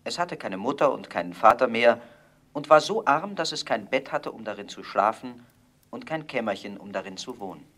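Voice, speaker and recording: Expressive male fairy-tale narrator, medium pitch. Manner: measured, expressive storybook phrasing. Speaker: storyteller.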